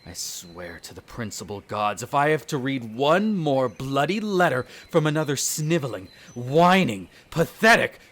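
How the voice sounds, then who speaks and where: A man's voice: medium pitch, very animated, moderate pace, hardly any pauses, a bit bright. A man playing an irritable aristocratic vampire in a roleplay audio drama, speaking formally with sneering condescension toward humans. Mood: exasperated. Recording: nature ambience with birdsong behind. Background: birdsong and garden ambience.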